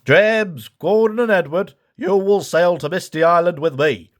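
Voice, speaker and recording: A man's voice: high-pitched, unhurried, voice pushed up. A man voicing a formal, authoritative railway controller who declaims his lines in a children's storybook. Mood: commanding.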